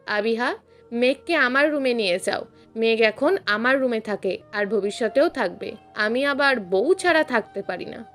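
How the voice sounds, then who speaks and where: A woman's voice: a little high, expressive, measured pace, a bit bright, faint music behind, pitched below the performer's own voice. A woman voicing a blunt, unashamed young husband, a defiant doctor, in an audio story. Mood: firm. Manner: firm, blunt.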